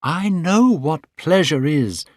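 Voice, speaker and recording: A man voicing an eager, lovestruck young man in a spoken storybook, pitched high and gushing. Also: expressive, slow pace, no room sound, studio quality. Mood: excited, gushing.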